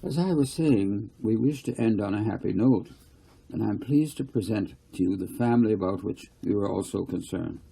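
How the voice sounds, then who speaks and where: A man's voice: fairly low pitch, normal speed, creaky, dark tone, husky, poor audio. A man voicing a formal, wordy government minister giving an awkward, placating speech in a storybook reading. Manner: stiff, wordy, placating officialese.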